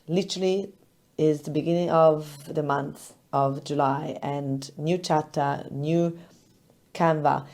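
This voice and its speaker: Hoarse, crackly-voiced woman chatting. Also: low-pitched. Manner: chatty and expressive, laughs often, animated retellings. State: hoarse, recovering lost voice. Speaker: business podcast host. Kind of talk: casual solo podcast talk.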